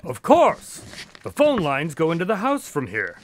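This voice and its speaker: Affable, wry male doctor sidekick, played by an actor: higher-pitched, very expressive, chatty radio-drama storyteller. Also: measured pace, slight background noise. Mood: wry, puzzled. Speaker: narrator-character of a radio drama. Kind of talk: scripted radio drama narration and dialogue.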